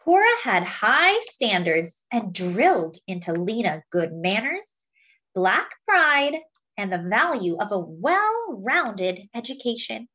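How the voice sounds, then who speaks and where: A woman reading a children's picture book aloud as a story-time host, with deliberate phrasing for young listeners. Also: mid-range voice, big pitch swings, bright, forceful tone, lo-fi sound.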